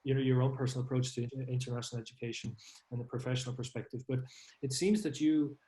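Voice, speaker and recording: A man's voice: mid-range voice, level tone, fast, warm tone. Man hosting in an echoey room. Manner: rambling, hesitant with fillers. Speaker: podcast host and CEO. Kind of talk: podcast interview.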